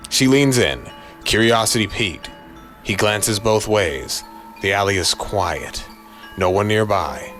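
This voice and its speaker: A man narrating a scripted noir horror story in a deliberate, dramatic way. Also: fairly low pitch, animated, a bit bright. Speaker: narrator and voice actor. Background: faint music.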